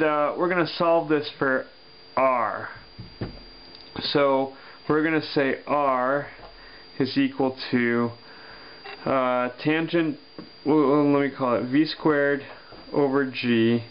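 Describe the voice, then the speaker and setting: A man teaching physics, working a problem step by step on paper and thinking aloud with pauses and fillers. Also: medium pitch, steady tone. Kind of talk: worked-example lesson over paper. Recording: dull lo-fi sound with faint hum.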